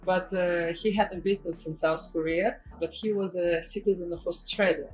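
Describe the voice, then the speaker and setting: A woman's voice: lowish voice, steady tone, moderate pace, poor audio. Russian-accented woman in casual interview, music behind. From Russia, Saint Petersburg. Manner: non-native English with loose grammar. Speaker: guest, property investor and conference speaker.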